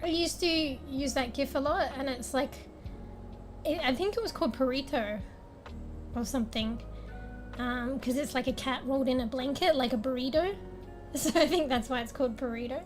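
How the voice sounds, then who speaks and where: A young woman streamer, a little raspy today, chatting casually at home into a desk mic, rambling, laughing a lot and swearing now and then. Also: sits high, moderate pace. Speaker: game streamer. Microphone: desk microphone with fan blowing on it. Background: soft background music.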